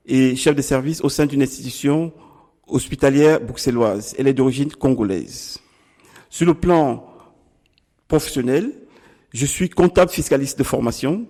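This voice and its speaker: A middle-aged man from Cameroon, a political candidate answering interview questions in a deliberate, formal way with pauses. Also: medium pitch, darkish tone, long reverb tail. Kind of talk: measured campaign interview answers.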